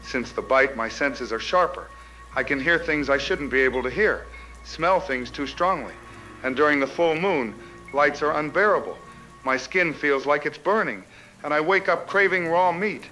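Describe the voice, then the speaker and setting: A man's voice: fairly high pitch, moderate pace, sharp tone, faint music behind, poor audio. An actor playing a frightened radio caller who fears he is changing, speaking in an anxious, confessional way. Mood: terrified. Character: frightened bitten man phoning in, fighting an inner change. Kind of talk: radio drama call-in monologue.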